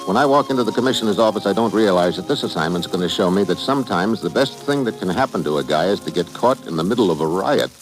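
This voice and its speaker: A man's voice: deep voice, animated, fast, hardly any pauses, rough recording. An American man playing a tough, wry government agent in a 1950s radio drama, clipped and hard-boiled. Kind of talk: 1950s radio drama acting and first-person narration. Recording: old 1950s radio recording, music behind.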